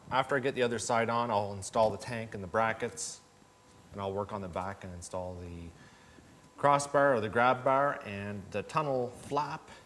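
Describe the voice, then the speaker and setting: A man in his forties talks through a hands-on build in a folksy, matter-of-fact way. His pitch is middling for a man. He is recorded in a garage workshop a few metres from the camera, with faint noise and clicks behind him. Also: a bit bright. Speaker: host of a snowmobile build series. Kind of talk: casual how-to workshop talk. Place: indoor garage workshop full of tools.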